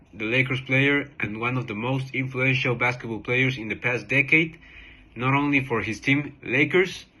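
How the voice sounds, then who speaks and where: A man hosting a show with a forceful, bright voice. He delivers a scripted introduction with emphatic, announcer-like phrasing, at an ordinary middle pitch. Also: rough recording. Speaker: show host introducing an interview.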